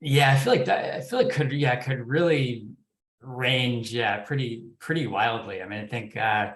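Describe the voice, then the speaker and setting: A man's voice: medium pitch, moderate pace, a bit bright. A man speaking unscripted as a panelist, hesitant and repeating words as he thinks, recorded in an echoing room. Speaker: podcast development executive on a panel. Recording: roomy, echoing sound.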